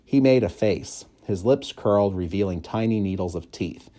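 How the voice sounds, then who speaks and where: A man reading a children's story aloud in expressive, measured, clearly phrased narration. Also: fairly low pitch. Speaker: audiobook reader, school staff member.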